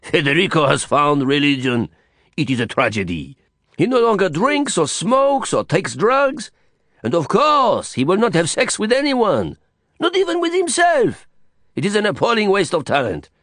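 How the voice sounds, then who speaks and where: A man's voice: fairly high pitch, big pitch swings, moderate pace, no room sound, studio quality, pitched above the performer's own voice. A man doing a theatrical Frenchman holding forth. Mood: mock outrage. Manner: grand, emphatic, lecturing. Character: worldly older Frenchman, witty, theatrical, expansive.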